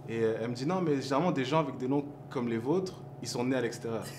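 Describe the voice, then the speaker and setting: A man's voice: medium pitch, fast talker. Reflective man from Montreal, noisy café. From Montreal, Quebec. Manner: reflective, searching for words with many fillers. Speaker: hip-hop artist guest. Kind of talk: casual interview chat.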